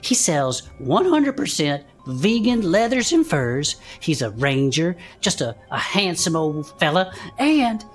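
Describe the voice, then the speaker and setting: Folksy Southern US adventurer-host played by a woman, slightly low voice, very animated, theatrical audio drama banter over faint music. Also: unhurried, hardly any pauses. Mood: upbeat. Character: folksy former adventurer hosting a cryptid-hunting show, excitable.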